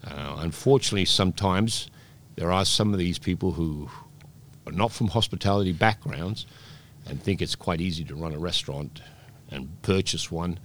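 Deep-voiced chatty man in his sixties. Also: a bit bright, a little hiss or hum. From Scotland, raised in Peru. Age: 60s. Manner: rambling storyteller with many restarts. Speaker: guest, oyster bar operator. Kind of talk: relaxed interview chat.

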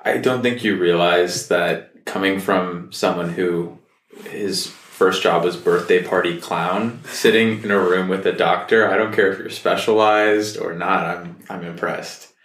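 A man's voice: sits low, steady tone, measured pace, fairly bright tone. A man hosting an interview show, asking friendly, upbeat questions. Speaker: host. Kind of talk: documentary interview and intro.

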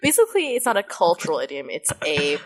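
A woman's voice: lowish voice, huge pitch swings, fast talker. Chatty woman with a kid-like voice. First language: Mandarin. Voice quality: youthful, kid-like voice. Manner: chatty, rambling, laughs often. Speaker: podcast host and voice actress. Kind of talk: casual podcast chat.

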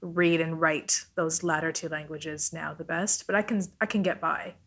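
Podcast guest: woman, native Italian speaker, fairly low voice, informal, thinking out loud. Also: steady tone, moderate pace. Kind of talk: casual chat.

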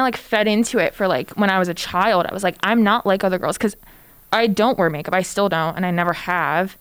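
Young woman, podcast co-host, blunt, sarcastic, smooth clear voice, dry close sound. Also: fairly low pitch, dry recording. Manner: blunt, sarcastic, heavy use of "like". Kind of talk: casual podcast chat.

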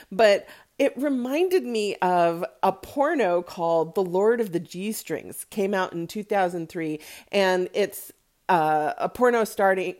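A woman hosting a casual, opinionated solo movie commentary, talking in a chatty, candid way with conversational asides. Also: fairly high pitch. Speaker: podcast host giving movie reviews.

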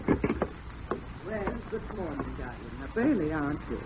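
An actress playing a trusting, genteel wife in an old-time radio drama, with an expressive delivery. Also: female voice, sits low, a little slow. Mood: affectionate. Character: affectionate, trusting wife growing frightened. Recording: muffled, noisy vintage radio recording.

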